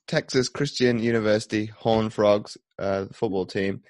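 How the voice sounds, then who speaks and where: A man hosting a podcast, chatting and asking rambling questions in a casual interview. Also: on the low side. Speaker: podcast host.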